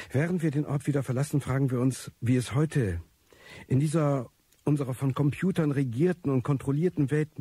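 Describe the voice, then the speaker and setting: A man's voice: mid-range voice, mellow, husky, no echo. Emphatic, dramatic male reporter. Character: earnest male news reporter on a mystery scene. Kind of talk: scripted broadcast report in radio drama.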